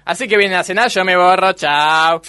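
A man's voice: sits high, normal speed, bright, forceful tone, clean tone. A man playing an aggrieved, whiny husband in a comic radio play, ranting pedantically with an Argentine Rioplatense accent. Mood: exasperated. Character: aggrieved whiny husband obsessed with tennis court.

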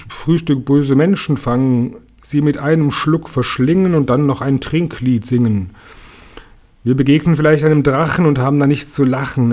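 A man voicing an excitable, boastful male centipede in a children's story, with gleeful, sing-song delivery. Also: a little high, lightly acted.

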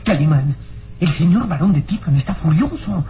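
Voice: medium pitch, animated, normal speed, warm tone, slight background noise, lightly acted. A performer playing a frightened, loyal boy companion in a radio serial, stammering anxiously on an old recording. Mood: alarmed.

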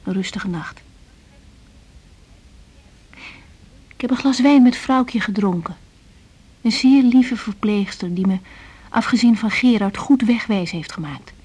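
An actress playing an earnest, weary young doctor in a radio drama, speaking in short reflective phrases. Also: female voice, mid-range voice, normal speed, darkish tone, breathy edge, close to an everyday voice, low-quality recording. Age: young adult. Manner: reflective, intimate, clipped phrases. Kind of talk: radio drama acting with diary-like monologue.

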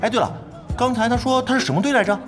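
A man's voice: extremely high pitch, rapid-fire, over music. A man playing a naive, cheerful young gamer sidekick in an audio drama, earnest and blustering. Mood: naively friendly.

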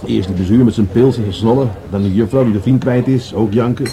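An actor playing a grumbling police adjutant in a Dutch radio drama, halting and deferential to his superior. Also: mid-range voice, mellow, natural voice. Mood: grumbling, hesitant.

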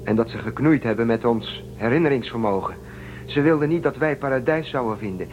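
A man's voice: mid-range voice, fairly quick, darkish tone, distant mic, lo-fi sound, faint music behind, natural voice. An actor playing a bold, protective, rebellious young man on the run aboard a spaceship in a Dutch radio drama, with an urgent, commanding manner. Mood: intent, suspicious.

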